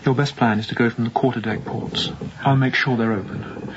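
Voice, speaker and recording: An actor playing an anxious young ship's captain in a radio drama, speaking in a hushed, urgent, secretive manner. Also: male voice, mid-range voice, steady tone, brisk, lightly acted. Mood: quietly earnest. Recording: dull muffled audio with background noise.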